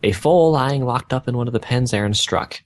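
A man's voice: mid-range voice, moderate pace. A man reading fan fiction aloud, stumbling over odd words and breaking off with casual joking comments. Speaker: reader of fan fiction on a gaming video.

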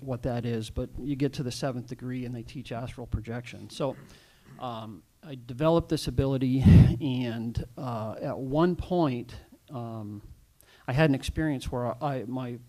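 A man in the audience of a panel talk, asking a long question and telling his own experiences, with many pauses and fillers. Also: mid-range voice, a little mellow. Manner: hesitant, many fillers and restarts. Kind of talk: rambling personal account at a panel Q&A.

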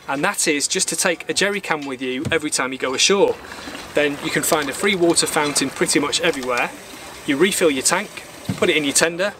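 A man in his thirties hosting an instructional sailing vlog, explaining things plainly to camera. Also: fairly high pitch. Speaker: sailing channel host. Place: outdoors on a small boat in a marina. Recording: outdoor marina background noise.

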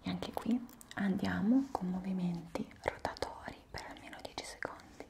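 A young woman in an ASMR roleplay as a palm reflexology therapist, whispering breathily with polite, soothing, unhurried instructions. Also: on the low side, creaky. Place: small indoor room at a table. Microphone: lavalier microphones close by.

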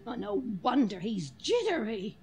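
A woman's voice: a little high, sing-song, a little slow. An actress playing a sensible, scoffing woman, plain-spoken and dismissive, in a 1940s radio play. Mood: reproving. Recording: vintage 1940s radio, music behind.

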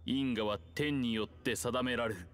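An actor voicing an ancient male earth dragon speaking through a man's body, solemn, archaic and measured. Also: medium pitch, steady tone, natural voice. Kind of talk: Japanese game dub.

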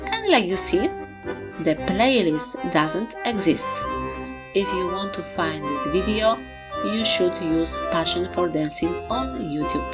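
Low-pitched woman explainer, English. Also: lively intonation, unhurried. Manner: simple, slightly unidiomatic English in short phrases. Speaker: host of an educational video channel. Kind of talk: scripted explainer narration. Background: background music.

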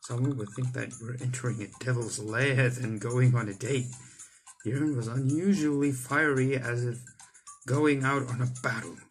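A young man reads a visual novel out loud in a mid-range voice. His delivery is dramatic and he trips over the odd word. Light game music plays behind him. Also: medium pitch, fairly even intonation, normal speed, poor audio. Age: young adult. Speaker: gaming YouTuber reading a visual novel aloud. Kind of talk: animated read-aloud of visual novel text. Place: indoor room, on webcam.

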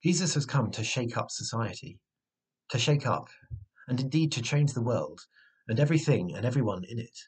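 Husky young man preaching. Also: mid-range voice, expressive, darkish tone, poor audio. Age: 20s. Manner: measured, earnest, reflective. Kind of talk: sermon. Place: small room at home, indoors. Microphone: webcam or device mic at arm's length.

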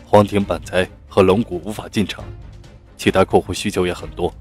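A man playing an earnest, deferential company manager in an audiobook, speaking in a measured, businesslike way. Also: low-pitched, level tone, darkish tone, faint music behind, lightly acted. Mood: businesslike, concerned. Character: earnest, deferential male manager reporting to his boss. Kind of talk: audiobook character acting.

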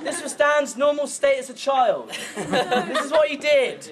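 A man's voice: very high-pitched, a little slow. A young man in his twenties giving a joking best man speech at an outdoor wedding, telling stories and chuckling as he goes. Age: 20s. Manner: joking, storytelling, chuckling through lines. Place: outdoor pub garden at a wedding. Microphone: far camera. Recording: crowd laughter and loud noise from a far camera. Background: laughing wedding crowd.

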